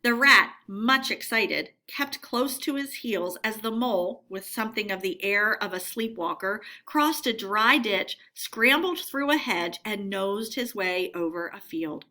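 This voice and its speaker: A woman narrating a storybook read-aloud in an expressive, measured, teacherly way. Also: medium pitch.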